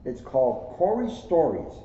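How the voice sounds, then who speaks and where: A man reads a story aloud in a mellow, relaxed voice pitched a little high. The room is echoey and the recording is dull, with noise behind him. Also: moderate pace. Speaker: police officer reading a children's book aloud. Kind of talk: read-aloud storytelling with casual asides. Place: indoors, second floor of a borough hall. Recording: roomy echo, dull lo-fi sound, clear noise.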